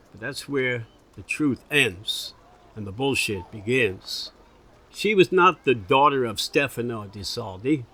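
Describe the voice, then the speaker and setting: Forceful, hard-nosed reporter. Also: male voice, medium pitch. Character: hard-nosed, blunt male investigative newspaper reporter. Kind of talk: mock-documentary interview.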